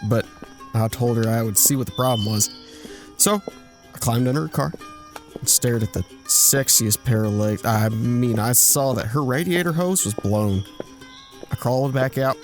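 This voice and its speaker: Casting: male actor as a folksy skirt-chaser for radio drama, lively swooping delivery, sly innuendo, music underneath. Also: medium pitch. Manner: folksy, innuendo-laden, stumbling into self-corrections. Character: womanizing younger man, bashful braggart telling racy tales. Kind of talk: audio drama acting, anecdotal storytelling.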